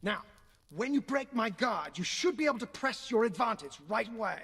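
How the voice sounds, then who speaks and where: An actor playing a stern, sardonic older uncle who gives commanding sword lessons in an audio game, with a raspy voice. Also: male voice, high-pitched, expressive, moderate pace, a bit bright, heavily acted. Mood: forceful, commanding. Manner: commanding, dry sarcasm. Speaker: sword instructor. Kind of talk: dramatic audio game acting.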